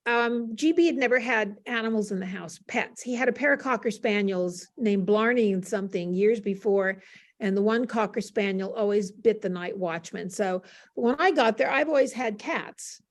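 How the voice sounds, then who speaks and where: An older American woman, a panel guest, telling casual stories in an animated way and acting out remembered dialogue. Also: medium pitch, moderate pace. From United States. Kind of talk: casual anecdotal storytelling.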